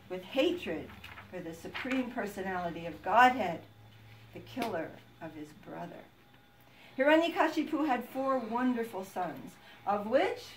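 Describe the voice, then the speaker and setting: A woman narrating a scripted puppet-show story in an expressive storybook manner. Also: mid-range voice. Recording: distant microphone, background noise.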